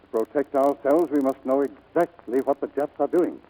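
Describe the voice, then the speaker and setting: An actor playing a grave, authoritative older admiral in a 1940s radio drama. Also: male voice, mid-range voice, moderate pace, dark tone, close to an everyday voice, low-quality recording. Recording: vintage 1940s radio recording.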